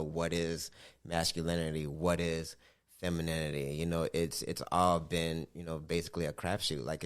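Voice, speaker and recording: A man with a New Orleans accent on a casual podcast, measured and hedging, filling pauses with "you know.". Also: deep voice, clean tone. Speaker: podcast co-host.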